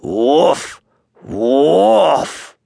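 A man voicing a big, smug bear from a children's story in a slow, deep, grumbly voice. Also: sing-song, creaky, warm tone, no echo, studio quality, full character voice. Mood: gruff, startling. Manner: slow, drawn-out delivery.

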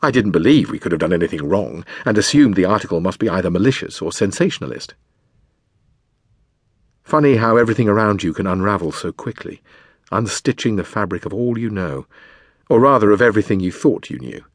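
A man reading a memoir as a scripted audiobook narration, in a measured, deliberate manner with dramatic pauses. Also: on the low side, animated, husky, no room sound, clean studio sound.

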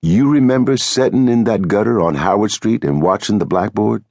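A man voicing a small, irritable, bossy American drifter in an audiobook, with gruff, scolding, folksy speech. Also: on the low side, moderate pace, darkish tone, dry recording, studio quality, pitched above the performer's own voice. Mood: exasperated.

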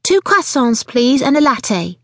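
A woman's voice: sits high, sing-song, measured pace, a bit bright, no echo, clean studio sound, voice pushed up, exaggerated voice. A woman voicing a bossy, impatient mother in an audiobook, with a pointed, demanding manner. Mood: chirpy.

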